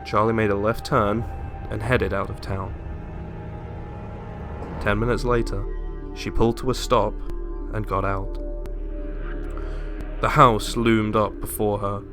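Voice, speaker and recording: A man giving a dramatic, deliberate audiobook narration of a suspenseful horror story. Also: lowish voice, little pitch movement. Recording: music under the voice.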